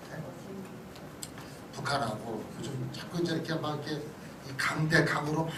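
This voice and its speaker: A middle-aged man, a pastor, giving a conversational sermon-like lecture, often checking in with his listeners. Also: a little high. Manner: conversational, punctuated with short checking questions to listeners. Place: church hall. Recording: distant mic in echoey room, noisy.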